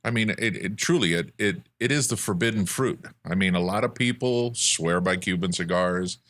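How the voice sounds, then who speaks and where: Deep-voiced American man, casual and dry. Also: moderate pace, a bit bright, dry recording. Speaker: interview guest, cigar brand ambassador. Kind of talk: casual chat.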